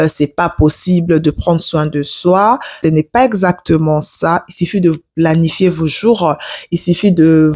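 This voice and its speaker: A woman's voice: fairly low pitch, dark tone. A woman in her forties from Africa, giving advice to her viewers in a casual vlog, earnest, with many hesitations. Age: 40s. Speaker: vlogger. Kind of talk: casual advice talk to camera.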